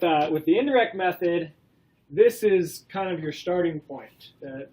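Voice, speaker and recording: A man with a cold and a stuffy nose, giving a classroom lecture in a relaxed way, with many ums and questions to the class. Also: on the high side, poor audio. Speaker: college instructor.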